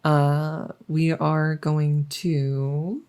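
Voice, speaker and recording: A woman playing a friendly, chatty dungeon master in a soft-spoken ASMR roleplay, musing and hesitant as she talks intimately to the listener. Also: low voice, flat delivery, extremely slow. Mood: casual, explanatory. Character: friendly female dungeon master, chatty, teasing, doting cat owner.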